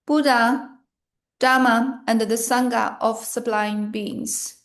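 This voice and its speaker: A woman reading a scripted guided meditation in short, measured phrases with pauses between them. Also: fairly high pitch, fairly even intonation.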